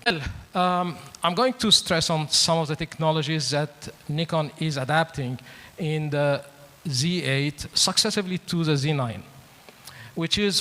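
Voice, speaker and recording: A man with an Arabic accent, a native Arabic speaker, giving a product presentation as a company manager with deliberate, halting phrasing. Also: mid-range voice, fairly bright tone. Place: large event hall. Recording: echoing large hall.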